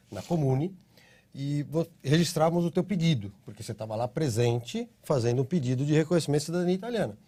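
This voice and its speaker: Mellow husky Brazilian man explaining. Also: on the high side. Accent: Brazilian Portuguese. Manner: steady explaining, frequent tag questions. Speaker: interview guest, citizenship consultancy CEO. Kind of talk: explanatory interview answers.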